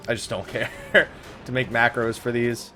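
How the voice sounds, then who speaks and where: A man's voice: medium pitch, steady tone, measured pace, bright, forceful tone, faint music behind. A man giving casual let's play commentary on a video game, swearing and griping with sarcasm. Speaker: host of a gaming let's play series.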